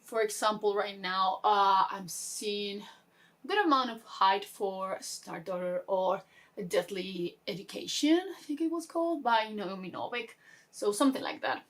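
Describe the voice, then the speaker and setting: A woman's voice: mid-range voice, unhurried, fairly bright tone. A woman chatting casually as a book vlogger, explaining things informally and interrupting herself with little asides. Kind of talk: casual chat explaining a reading game.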